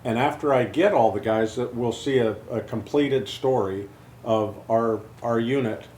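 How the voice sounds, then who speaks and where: Interviewer, man, mid-pitched, measured, roomy sound with faint noise. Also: darkish tone. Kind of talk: interview questions.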